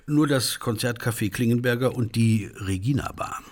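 A courteous, observant man voiced by an actor in a radio play. His voice is husky and medium in pitch, his delivery polite and measured. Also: natural voice. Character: courteous, observant male landscape negotiator. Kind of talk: radio drama acting.

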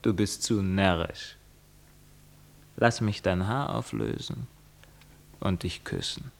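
A man's voice: low voice, big pitch swings, slow pace, gravelly, full character voice. A man playing a young lover who answers coolly and sarcastically in verse. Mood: mocking. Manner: sarcastic, dismissive verse speaking. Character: young male lover, cool, mocking.

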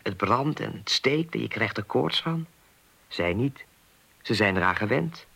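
Earnest, naive man, slightly husky. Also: lowish voice, lively intonation, a little slow, bright, forceful tone, full character voice. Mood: earnest, wistful. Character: earnest, naive, lonely man worried about a missing woman. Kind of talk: naturalistic radio play acting.

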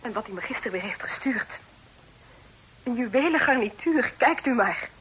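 A woman's voice: fairly high pitch, animated, moderate pace, a bit bright. An actress playing an easily frightened, distressed young lady in a period radio drama, speaking formally and pleadingly. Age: young adult. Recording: noisy old radio drama recording.

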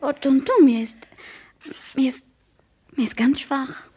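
Cheeky high-pitched teenage girl. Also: measured pace, dark tone. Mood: soft, subdued. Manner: impish, exclamatory. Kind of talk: 1970s German radio play acting.

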